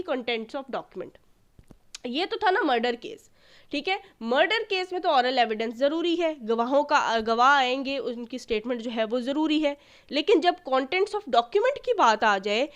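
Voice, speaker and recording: A woman giving an explanatory lecture as a law tutor, going step by step with rhetorical questions and repetition, mixing in English legal terms. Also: sits high.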